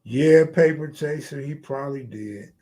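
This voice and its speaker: A man's voice: medium pitch, a little slow, warm tone. An American man hosting a live stream, chatting casually in slangy, profane, streetwise talk.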